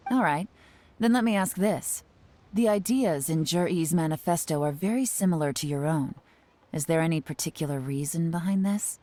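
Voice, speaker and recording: A woman playing a cool, shrewd young investigator in an anime video game, speaking in a measured, articulate and composed manner. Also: deep voice, dark tone, no room sound, lightly acted. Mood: cool, businesslike. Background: faint game music.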